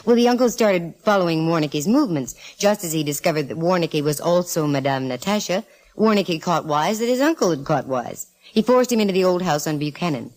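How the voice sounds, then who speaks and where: A woman's voice: lowish voice, expressive, fairly quick, darkish tone, natural voice. An actress playing a breezy, confident, flirtatious female private eye in 1940s radio detective drama style. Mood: brisk explaining.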